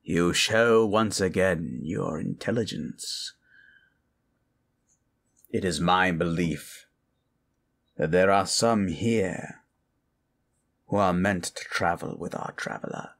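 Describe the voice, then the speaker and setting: A man's voice: low voice, takes it slowly, creaky, pauses often, a bit bright, exaggerated voice. A man playing a grave, ancient lich who speaks in solemn, formal phrases in a fantasy audio roleplay. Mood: dry, irritated. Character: grave ancient male lich, stern and scheming mentor.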